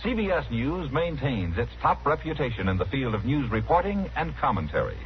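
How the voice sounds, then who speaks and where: A man's voice: mid-range voice, very animated, brisk. A man reading a scripted mid-century radio promotion as a formal, polished network announcer. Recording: dull, slightly noisy vintage radio broadcast.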